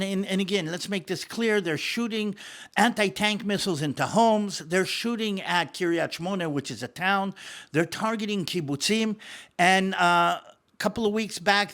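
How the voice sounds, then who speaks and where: A man's voice: high-pitched, fairly bright tone. A middle-aged man with an American accent, born in the States and raised in Israel, talking through Middle East events in a casual, explanatory way, with fillers and repeated words. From born in the United States, grew up in Israel.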